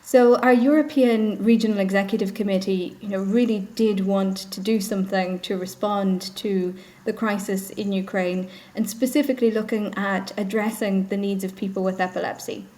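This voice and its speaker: An Irish woman speaks as a charity head in a recorded interview. She explains in an earnest, measured way, and her pitch sits in the middle of the range. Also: fairly even intonation, a little mellow, poor audio. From Ireland. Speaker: charity chief executive, guest. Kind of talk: video interview.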